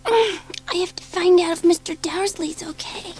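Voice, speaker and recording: A woman's voice: extremely high pitch, moderate pace, darkish tone. A woman acting a bored, rebellious schoolgirl in an audio drama, easily thrilled and drawn into mischief. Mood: defensive.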